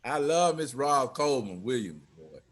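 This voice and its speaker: A man's voice: mid-range voice, big pitch swings, moderate pace, a bit bright. A man in casual banter over a video call.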